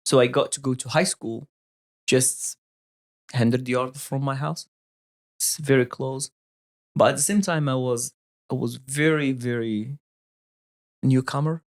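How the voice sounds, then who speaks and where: A Moroccan-accented man in his thirties tells stories from his youth in unhurried, halting English, pausing to hunt for words. His voice sits at a middle pitch with a gravelly, fry-edged texture. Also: steady tone. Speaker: podcast guest. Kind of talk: casual storytelling interview.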